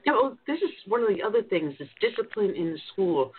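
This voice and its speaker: A woman's voice: medium pitch, big pitch swings, fairly quick. A woman hosts a conservative talk radio show, chatting emphatically and with conviction. Her voice comes through a thin, phone-like line. A faint hiss sits under it. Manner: talkative, emphatic, forthright.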